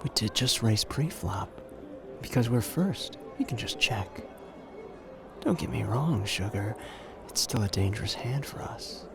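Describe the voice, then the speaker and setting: A man's voice: on the low side, animated, creaky, over music. A man playing a suave, flirtatious, confident gambler in an ASMR roleplay audio drama, teasing and intimate in the way he talks. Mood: coaxing, intimate. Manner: teasing, intimate, drawn-out phrasing with pet names.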